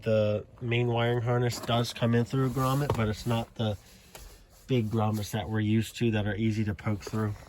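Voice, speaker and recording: An American man giving a casual, unscripted hands-on walkthrough in a plainspoken, matter-of-fact way with hesitant pauses. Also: lowish voice, flat delivery. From South Jersey, United States. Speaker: hobbyist showing a car CB radio installation. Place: in and around a car. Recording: clearly noisy handheld recording with clicks.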